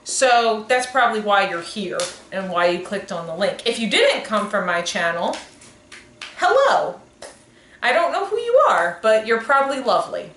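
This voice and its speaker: Chatty bright-voiced woman in her twenties. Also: mid-range voice, sing-song, moderate pace. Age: 20s. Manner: chatty, rambling, self-correcting. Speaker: podcast co-host. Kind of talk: casual chat. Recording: echoey room, faint noise.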